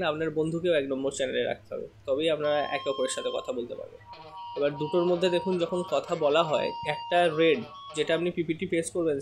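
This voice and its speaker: A man giving a casual product demonstration as a seller, explaining step by step with frequent hesitant pauses. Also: on the high side.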